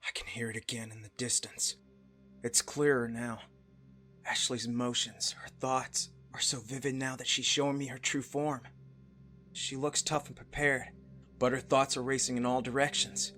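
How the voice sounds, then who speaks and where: A man playing a solemn, protective young demigod hero in an anime visual novel, in an earnest, deliberate way. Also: mid-range voice, little pitch movement, sharp tone, close to an everyday voice. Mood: brooding. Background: background music.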